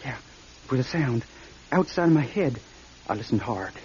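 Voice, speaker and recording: An actor playing a scared, suspicious young man in a radio drama, speaking in an anxious, halting stammer. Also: mid-range voice, lively intonation, darkish tone, slight background noise, close to an everyday voice. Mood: dread, halting recollection. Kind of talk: audio drama acting, first-person narration and dialogue. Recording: dull old radio drama, music behind.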